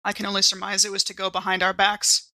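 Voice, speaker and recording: A woman with a bright, forward voice, recorded close and dry. She talks as a player in a tabletop role-playing game, composed and articulate as she slips between table talk and her character. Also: medium pitch, completely flat delivery, fast talker, no room sound. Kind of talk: conversational in-game role-play.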